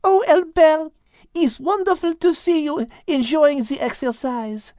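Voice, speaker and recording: Man putting on a dainty high-voiced little female animal. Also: measured pace, a little mellow, no room sound, clean studio sound. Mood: delighted. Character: sweet petite female animal, affectionate and cheerful.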